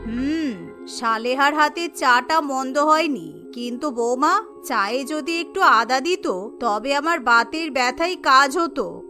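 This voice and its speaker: A woman puts on an elderly cartoon mother-in-law who scolds and fusses. The voice is extremely high and bright, with sharp-tongued, commanding delivery and light music underneath. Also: expressive, takes it slowly, heavily acted. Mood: fussy. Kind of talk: cartoon character dialogue.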